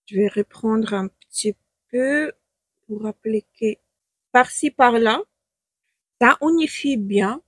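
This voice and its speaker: A woman's voice: fairly high pitch, slow, pauses often, fairly bright tone, slightly rough, no echo. A woman from Ukraine with a Ukrainian accent, speaking French as a second language, chatting hesitantly through a makeup tutorial and searching for words. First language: not French. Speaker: beauty stylist and makeup vlogger. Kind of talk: casual makeup tutorial talk to camera. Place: at home, in front of her makeup.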